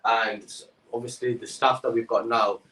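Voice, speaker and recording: A man's voice: medium pitch, steady tone, moderate pace, bright, forceful tone, a little hiss or hum. A man who runs a perfume business, answering questions hesitantly with many fillers, heard over a remote call. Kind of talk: guest answering interview questions. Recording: echoey and distant.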